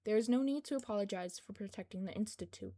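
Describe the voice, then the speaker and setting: A woman's voice: medium pitch, fast talker, dark tone, lightly acted. A woman voicing a tired, stubborn, earnest teenage boy in a fan fiction audiobook. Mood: polite, weary. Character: tired, stubborn teenage boy, politely formal yet pleading.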